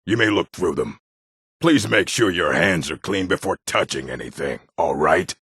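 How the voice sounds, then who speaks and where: Stern orc, game character: very low, husky, commanding delivery with wide swings in pitch. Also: male voice, animated, moderate pace, fairly bright tone, dry recording, clean studio sound, full character voice. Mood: stern, proud. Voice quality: gravelly, husky. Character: gruff male orc, stern bookish keeper and warrior. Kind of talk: video game character voice acting.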